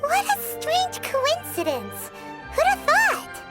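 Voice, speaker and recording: A woman voicing a tiny, chirpy, cheeky fairy companion with a squeaky childlike voice in a video game. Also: wildly swooping pitch, takes it slowly, heavily acted. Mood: amazed. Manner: exclaiming, wry commentary. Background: loud playful game music.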